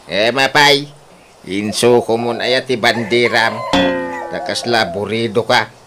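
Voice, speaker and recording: A man's voice: medium pitch, takes it slowly, music in the background, close to an everyday voice. A man with an Ilocano Filipino accent playing a stubborn, hot-tempered, scolding father in a comic radio drama. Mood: angry scolding. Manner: blustering, exclamatory, scolding. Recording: noisy radio drama recording.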